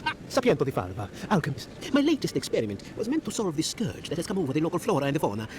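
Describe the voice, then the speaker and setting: Eccentric game alchemist, higher-pitched, self-important, hesitant musing, noisy ambience behind. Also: male voice, wildly swooping pitch, very fast, a little mellow. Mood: musing, proud. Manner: hesitant, musing, ornate phrasing. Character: rambling eccentric male alchemist, self-important yet scattered. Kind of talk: video game character dialogue. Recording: game audio with clear ambient noise.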